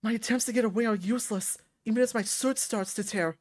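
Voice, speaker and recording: A woman's voice: on the high side, fast, a little mellow. A woman reading a visual novel aloud as dramatic scripted narration. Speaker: visual novel reader and voice performer.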